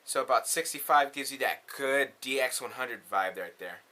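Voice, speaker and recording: A bright, forceful man with a mid-range voice hosts a hands-on tutorial. He speaks casually and informally, using everyday slang as he explains each step. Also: mid-range voice, normal speed, a little hiss or hum. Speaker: tutorial host.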